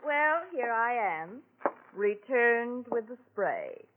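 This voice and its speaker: An actress playing a cool, mocking American blackmailer, a scorned wife in a 1940s radio drama. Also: female voice, on the high side, sing-song, takes it slowly, noisy background. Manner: mocking, drawling endearments. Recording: tinny old radio broadcast.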